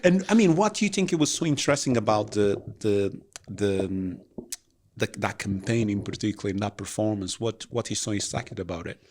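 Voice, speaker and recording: A man with a somewhat low voice co-hosts a casual podcast. He talks in short bursts and keeps agreeing. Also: animated, fairly quick, a little mellow. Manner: brief interjections, agreeing often. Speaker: podcast co-host. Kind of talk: casual chat.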